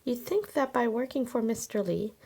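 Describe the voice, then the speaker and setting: A woman's voice: fairly high pitch, normal speed, warm tone. A woman playing a weary, kindly, resigned poor father in a children's storybook.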